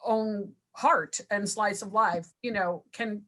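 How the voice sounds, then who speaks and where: A woman actor talking about her role in a casual video-call talkback, halting with many fillers. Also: mid-range voice, fairly bright tone.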